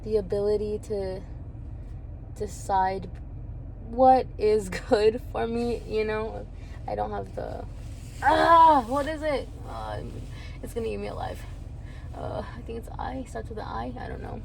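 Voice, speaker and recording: A woman's voice: medium pitch, animated, unhurried, creaky, a little mellow, poor audio. Young woman musing candidly over street noise. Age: 20s. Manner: candid, rambling, laughs often. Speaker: vlogger. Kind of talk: reflective personal vlog.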